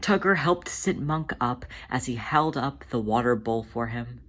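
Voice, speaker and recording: A narrator reading fan fiction aloud in an expressive storytelling style, with a mid-range voice. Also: medium pitch, measured pace. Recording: faint background noise.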